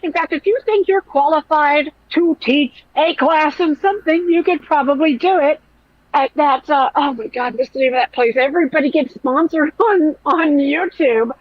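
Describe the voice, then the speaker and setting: A woman hosting a podcast in casual commentary chat, with a nasal, whiny voice and a blunt, profane, animated way of talking. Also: high-pitched, normal speed, no echo, muffled recording. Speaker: podcast host.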